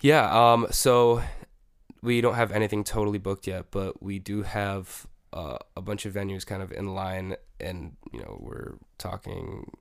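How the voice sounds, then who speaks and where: Gravelly laid-back young man. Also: low-pitched, unhurried, dry recording, clean studio sound. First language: Spanish. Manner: laid-back, many fillers, laughs often. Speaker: music producer and guest. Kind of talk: casual interview chat.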